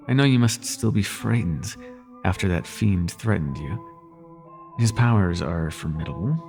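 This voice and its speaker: A man's voice: lowish voice, gravelly, a little mellow, exaggerated voice. A man playing a suave, teasing demon prince of nightmares in an intimate audio roleplay, with courtly, lingering delivery. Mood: sly, soothing.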